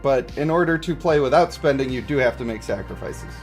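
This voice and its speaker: A man's voice: mid-range voice, lively intonation, fairly quick. A man hosting a game livestream, chatting casually and explaining his views to viewers in a conversational way. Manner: conversational, explanatory, checks for agreement. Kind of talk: casual livestream chat, reading and answering viewer comments. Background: music.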